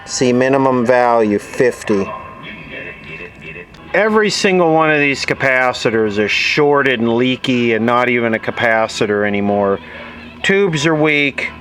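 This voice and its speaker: A man's voice: mid-range voice, expressive, a little slow, fairly bright tone, low-quality recording. A man narrating a radio repair at his workbench in a casual way, explaining technical details as he thinks aloud.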